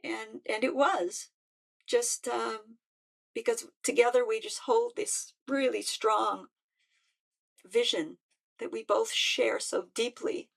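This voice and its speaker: An older woman, a musician speaking in a halting, heartfelt way with frequent restarts. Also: on the high side, steady tone, vocal fry. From United States. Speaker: musician and peace activist. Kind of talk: personal reflective talk.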